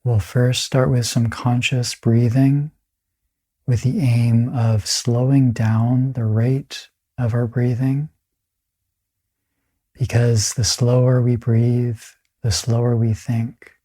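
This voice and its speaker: A man leading a guided meditation in a calm, soothing way, with a soft, breathy voice and pauses between phrases. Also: medium pitch.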